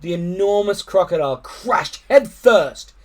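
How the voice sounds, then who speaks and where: Man, slightly high voice, storytime reader, expressive narration for children, dramatic emphasis. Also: a little slow.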